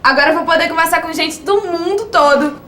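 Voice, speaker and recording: A chatty techy girl, super high bright voice, bit of room echo. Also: a little fast, slight background noise. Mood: excited. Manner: eager, explains things to friends. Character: chatty tech-loving girl, cheerful and knowing.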